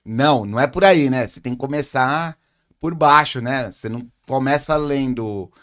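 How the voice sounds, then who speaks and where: Brazilian male host, middle pitch, chatty, rhetorical questions. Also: moderate pace, fairly bright tone. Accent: Brazilian Portuguese. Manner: conversational, poses rhetorical questions, tag "né". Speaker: podcast host, acupuncturist. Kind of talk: casual podcast chat.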